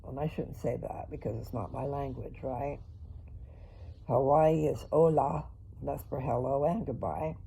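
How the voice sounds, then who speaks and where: A woman's voice: rumbling low, a little slow, gravelly, dark tone, husky, low-quality recording. An older woman chatting casually in a personal vlog, rambling and talking straight to her viewers. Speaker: vlogger.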